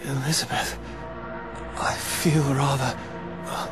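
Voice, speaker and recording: An actor playing an earnest young swordsman in a video game, dazed and weary, speaking haltingly. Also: medium pitch, flat delivery, music in the background, lightly acted. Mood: weary, troubled. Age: young adult. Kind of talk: video game cutscene acting.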